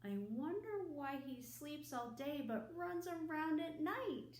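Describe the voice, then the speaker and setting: A woman's voice: sits high, expressive, slow pace, a little mellow. A woman leading a children's storytime, speaking simply and expressively as if to small children, in an indoor room. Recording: roomy echo.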